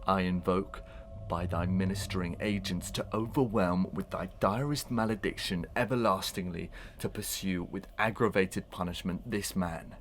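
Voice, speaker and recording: An actor playing a bitter condemned husband, menacing and declamatory, in a Victorian melodrama for audio. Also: male voice, low voice, steady tone, moderate pace, a little hiss or hum. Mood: vengeful, commanding. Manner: declamatory, archaic, dramatic speech.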